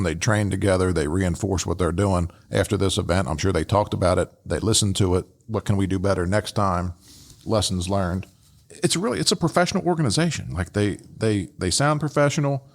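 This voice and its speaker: A man's voice: sits low, vocal fry. A man co-hosting a podcast, talking through an analysis casually and emphatically, repeating phrases for stress. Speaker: podcast co-host and fire service trainer.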